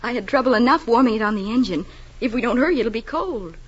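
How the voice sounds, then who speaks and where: An actress playing a steadfast, teasing, loving young wife in gentle, earnest 1940s radio drama style. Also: female voice, on the high side, lively intonation, quick pace, husky. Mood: playfully teasing. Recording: dull old 1940s radio broadcast.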